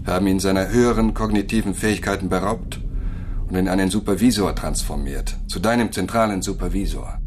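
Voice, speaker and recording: An actor playing a calm posthuman supervisor in a radio drama, explaining things in a measured, matter-of-fact way. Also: male voice, on the low side, background noise, close to an everyday voice. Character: calm machine supervisor, posthuman overseer of a digital world.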